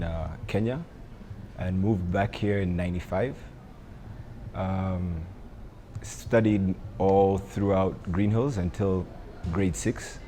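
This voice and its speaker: Hesitant low-voiced young man. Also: mellow, a little hiss or hum. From Rwanda. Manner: hesitant, many ums and uhs. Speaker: coffee entrepreneur. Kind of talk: interview answers.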